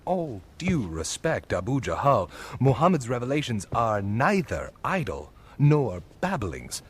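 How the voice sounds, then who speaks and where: An actor playing a calm, respectful male elder in an animated film. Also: medium pitch, slightly rough, heavily acted. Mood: earnest. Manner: measured, respectful, persuasive.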